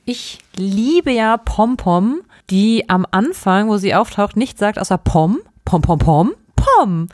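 A woman's voice: medium pitch, expressive, slow pace, few pauses, darkish tone, no room sound. Woman chatting, dry close sound. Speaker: podcast co-host. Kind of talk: casual chat, commenting.

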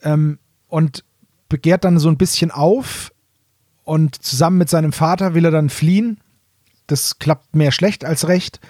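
A middle-aged German man with a slightly high voice co-hosts a podcast. He explains things in casual fan talk, often restating himself as he goes. The sound is dry and close. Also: unhurried, darkish tone, dry recording. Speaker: podcast co-host reviewing audio dramas. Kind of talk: casual, enthusiastic fan chat.

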